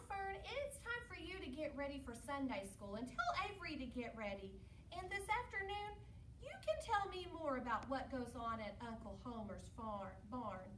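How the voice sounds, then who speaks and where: A woman's voice: high voice, a bit bright, pitched above the performer's own voice. A woman voicing a worried, puzzled farm mother in a storybook, with a questioning, fretful manner. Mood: brisk, motherly. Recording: roomy echo, distant mic, faint noise.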